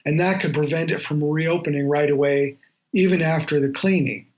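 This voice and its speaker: Dull-sounding recording, higher-voiced male journalist. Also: steady tone, normal speed, a little mellow, slight background noise. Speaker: aviation journalist, interviewee. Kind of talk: explanatory interview answers, measured briefing.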